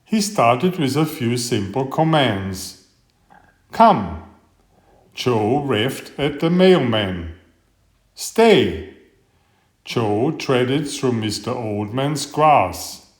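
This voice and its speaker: A man reading a children's bedtime story aloud with expressive, deliberate storytelling. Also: medium pitch, roomy sound. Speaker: storybook reader.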